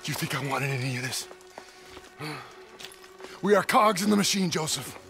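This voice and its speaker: An intense American sergeant in a war video game, stern and forceful. His voice is gravelly and raised, moving widely in pitch. He speaks like someone bound by duty and ready to defy anyone. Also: expressive, moderate pace, heavily acted. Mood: bitter. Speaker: army sergeant character. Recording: cinematic music behind the voice.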